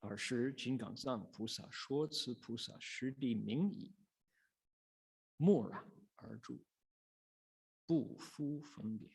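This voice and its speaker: A man reciting and teaching Buddhist scripture as a monk, in a measured, dramatic storytelling manner with pauses. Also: mid-range voice, gravelly, a little mellow, husky. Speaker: Buddhist monk, sutra lecturer. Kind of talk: sutra recitation and dharma lecture.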